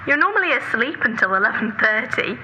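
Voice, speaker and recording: Very bright-voiced, nagging sister, acted by a woman. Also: on the high side, very animated, brisk, highs cut off, slight background noise, exaggerated voice. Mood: challenging. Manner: persistent, pressing, lecturing. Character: earnest, nagging, orderly sister, exasperated but caring. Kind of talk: naturalistic audio drama dialogue.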